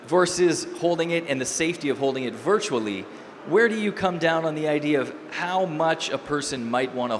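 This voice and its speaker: A man hosting a panel at a trade expo, welcoming guests and putting questions to them. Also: mid-range voice. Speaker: panel moderator. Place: expo hall stage. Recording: reverberant expo hall with faint noise.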